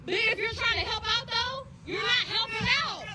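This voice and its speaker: A woman ranting loudly in a viral phone video, shouting at times and laughing while angry. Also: extremely high pitch, moderate pace. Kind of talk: heated rant to camera. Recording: noisy, echoey phone video from far off.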